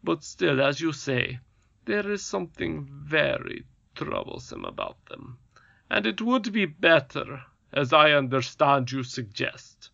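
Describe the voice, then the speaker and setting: Brief: a smug, blustering goblin king, read by a man, lively and gravelly, storybook villain, dull dry sound. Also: fairly high pitch, no echo, full character voice. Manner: blustering, self-satisfied.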